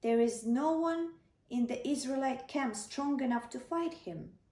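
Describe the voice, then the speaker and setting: A woman's voice: high-pitched, unhurried, warm tone. Storybook soldier brother read by a woman: fearful, defeatist, echoey and a bit far off. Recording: echoey room, distant microphone.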